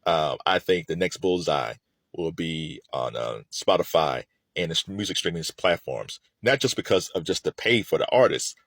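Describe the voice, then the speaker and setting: Low-pitched man with animated, swooping intonation. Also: moderate pace, dry recording, clean studio sound. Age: middle-aged. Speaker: music commentator. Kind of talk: opinionated unscripted commentary to listeners.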